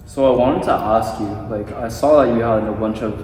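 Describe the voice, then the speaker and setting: A man's voice: mid-range voice, fairly quick, darkish tone. Young man hosting in an echoey room. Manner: loose, rambling questions full of "like". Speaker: podcast host and barber. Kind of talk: casual interview. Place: barbershop. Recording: echoey room, distant mic, faint noise.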